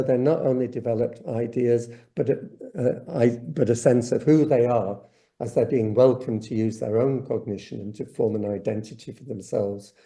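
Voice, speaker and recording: A man's voice: mid-range voice, gravelly, warm tone. A man giving a keynote talk at an online conference. He lectures with enthusiasm in a loose, improvised way, often restarting his sentences, and speaks through a computer mic on a video call. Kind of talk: academic conference presentation.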